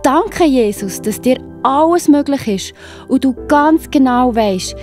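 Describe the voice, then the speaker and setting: A woman narrates a children's story in a mellow, mid-pitched voice with a Swiss German accent. She addresses the young listener directly and encouragingly, with faint music underneath. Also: animated, unhurried.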